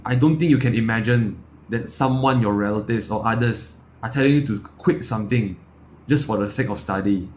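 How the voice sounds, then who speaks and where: A man's voice: fairly low pitch, moderate pace, slight background noise. A young man in his late teens from Malaysia with a Malaysian accent, giving a blunt, sweary, unscripted opinion talk as a vlogger. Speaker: self-improvement vlogger.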